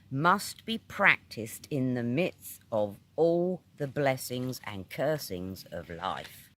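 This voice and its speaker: Slow-paced British man reading aloud. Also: fairly high pitch, fairly bright tone. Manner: measured, deliberate, instructive, with pauses between short phrases. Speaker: audiobook reader. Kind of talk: scripted narration of a metaphysical article.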